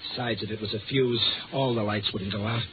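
Man as embittered desperate man, lowish voice, insistent, vengeful, vintage radio play, narrow noisy sound. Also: steady tone, a little fast, close to an everyday voice. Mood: agitated. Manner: tense, insistent, emphatic. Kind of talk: 1950s radio drama acting.

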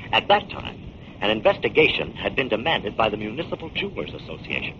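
An actor playing a confident, shrewd amateur detective in a 1940s radio drama, with a wry and persuasive manner. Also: male voice, medium pitch. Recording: muffled, noisy 1940s radio recording.

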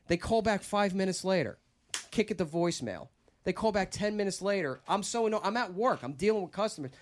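A man hosting a casual podcast, telling a long story in a rambling, animated way. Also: a little fast. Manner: rambling, animated storyteller who breaks into a squeaky put-on voice. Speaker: podcast host.

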